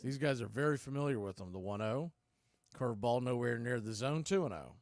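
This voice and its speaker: A man doing live radio-style baseball play-by-play as the announcer, making clipped pitch calls in short phrases. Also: expressive, brisk, no echo, clean studio sound.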